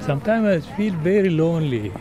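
A man talking in a reflective, halting way as an interviewee. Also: fairly high pitch, expressive, over music. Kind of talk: reflective, halting personal talk. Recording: outdoor noise with traffic.

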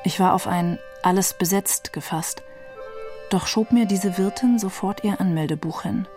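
A woman's voice: medium pitch, moderate pace, over music, natural voice. A woman reading a literary radio feature as a young male refugee narrator, proud, wry and detached. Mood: detached, dry. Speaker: reader of a literary novel.

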